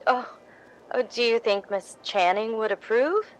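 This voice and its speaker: A woman's voice: on the high side, unhurried, a little hiss or hum, natural voice, rough recording. A woman playing a meek, grateful, ingratiating young theatre hopeful in 1950s radio drama style, hesitant and earnest. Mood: humble, eager.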